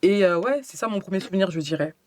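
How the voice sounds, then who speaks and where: A woman's voice: lowish voice, quick pace, darkish tone, no room sound. French woman chatting in a very dry recording. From France. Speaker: podcast guest. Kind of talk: casual interview chat.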